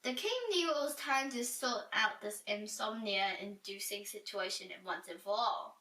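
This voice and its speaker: A woman reading a children's storybook aloud in an animated, dramatic and expressive way. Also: mid-range voice, unhurried. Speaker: storybook reader. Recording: roomy echo, distant from mic.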